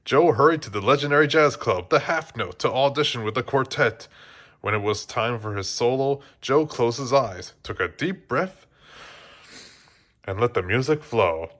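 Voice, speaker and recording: A man's voice: medium pitch, expressive, moderate pace, a bit bright. A man reading a children's storybook aloud as narrator, often stumbling and restarting his phrases. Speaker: storybook reader.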